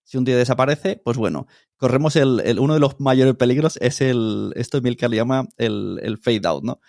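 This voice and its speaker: A man's voice: medium pitch, normal speed, gravelly, darkish tone, no echo, clean studio sound. A middle-aged man with an accent from Spain, talking casually and informally as an interview guest, explaining with examples. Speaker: podcast producer, interview guest.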